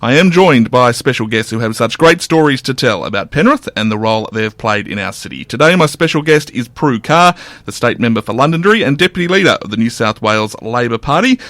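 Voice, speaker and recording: A man with an Australian accent hosting a podcast and asking relaxed, friendly questions. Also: mid-range voice, a little fast, no echo. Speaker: podcast host. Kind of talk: conversational interview.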